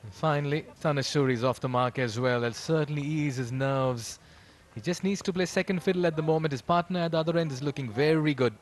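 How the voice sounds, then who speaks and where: A man's voice: a little high, fairly quick. A man giving live cricket commentary mixed with casual chat. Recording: clear background noise, live ground ambience.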